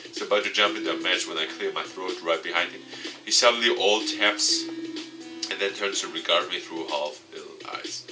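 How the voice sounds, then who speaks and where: Low-voiced man, distant mic over music. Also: normal speed. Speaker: gaming video host. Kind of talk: let's play, reading game text aloud.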